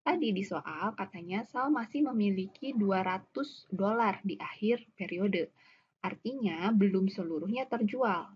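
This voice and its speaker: A woman's voice: medium pitch, unhurried. A woman with an Indonesian accent giving a step-by-step accounting lesson as a lecturer, in a didactic way, often posing questions and answering them herself. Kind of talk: explanatory lesson, walking through worked examples. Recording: dull lo-fi audio with clear background noise.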